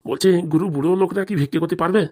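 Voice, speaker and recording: A woman does a crooked boss's henchman in a comic cartoon, lowish in pitch and extremely quick. He is deferential yet defensive, forever making excuses. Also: a little mellow, breathy edge. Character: servile, defensive male henchman of a crooked boss.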